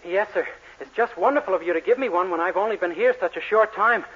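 An actor playing a naive, polite young drugstore clerk, earnest and gushing, in a 1940s radio sitcom. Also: male voice, high voice, fast, a bit bright, husky. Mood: eager, grateful. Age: young adult. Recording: muffled vintage radio broadcast.

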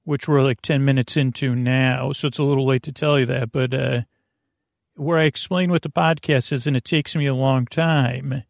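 Man, creaky voice, dry close sound, rambling podcast host, halting tangents, absurd self-deprecating humour. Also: medium pitch, fairly even intonation, no room sound. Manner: meandering, halting, full of tangents. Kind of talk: rambling bedtime podcast monologue.

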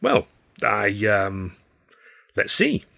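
Man playing a brisk, prosperous theatrical agent. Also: fairly low pitch, huge pitch swings, gravelly, bright, forceful tone, full character voice. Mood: puzzled. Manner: hearty, self-assured.

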